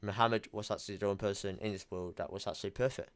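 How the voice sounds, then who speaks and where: A man's voice: on the low side, steady tone, very fast, dry recording. A young man talking casually on a call from his home gaming room, blunt and emphatic, swearing now and then. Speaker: YouTuber.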